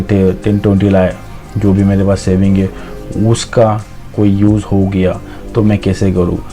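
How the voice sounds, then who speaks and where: A man's voice: on the low side, dark tone. A man giving an informal life-lesson monologue, hesitant and reflective, mixing in English words as he goes. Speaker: motivational storyteller.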